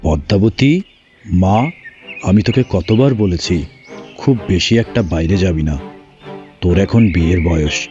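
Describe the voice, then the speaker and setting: A man's voice: deep voice, lively intonation, normal speed, long pauses, mellow, soft background music. A man voicing an ageing, worried village father in a fairy-tale cartoon, admonishing and complaining on a dull recording.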